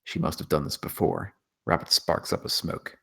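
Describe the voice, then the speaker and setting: A man's voice: deep voice, wildly swooping pitch, normal speed, gravelly, pushed and bright, husky. A man reading scripted stage directions as the narrator of a screenplay table read.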